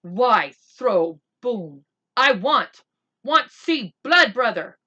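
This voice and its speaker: A woman's voice: a little high, very animated, very slow, bright, forceful tone, breathy edge, lo-fi sound, voice pushed up, exaggerated voice. A woman voicing a fierce, demanding tiny warrior man who speaks in terse, commanding broken English for a children's storybook. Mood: roaring anger. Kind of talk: children's storybook read-aloud.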